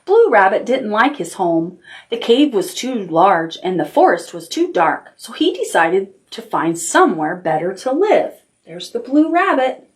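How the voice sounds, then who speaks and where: A woman's voice: medium pitch, big pitch swings, unhurried, a little hiss or hum. An American woman leading storytime for toddlers, speaking in a sing-song read-aloud style with simple prompts for small children.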